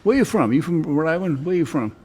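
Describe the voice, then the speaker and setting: Higher-pitched man judging informally. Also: lively intonation, very fast, a little mellow, breathy edge. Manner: informal, teasing, repeats answers back. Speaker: judge presiding in a municipal court show. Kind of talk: conversational courtroom questioning. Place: courtroom.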